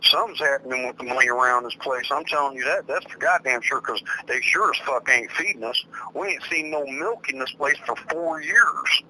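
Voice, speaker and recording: A man's voice: medium pitch, fairly quick, hardly any pauses, sharp tone. An American man, an inmate on a prison telephone, chats casually with blunt, aggrieved complaining and heavy swearing. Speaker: prison inmate on a phone call. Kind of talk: casual, profane phone chat. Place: prison phone. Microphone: telephone handset. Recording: noisy prison telephone line.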